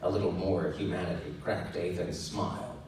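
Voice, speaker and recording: A man's voice: low-pitched, steady tone, normal speed, a little mellow. A man narrating fiction live to an audience in a dramatic storytelling style. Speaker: audiobook narrator reading to an audience. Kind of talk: dramatic live fiction reading with character voices. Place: live meetup room with audience. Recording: echoey noisy room, recorded from far off. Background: audience laughter and room noise.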